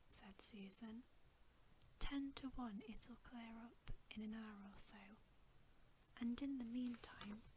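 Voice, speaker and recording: A woman reading a bedtime story aloud in a breathy, unvoiced ASMR whisper, gentle and soothing. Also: on the high side, slight background noise, low-quality recording. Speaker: ASMR reader.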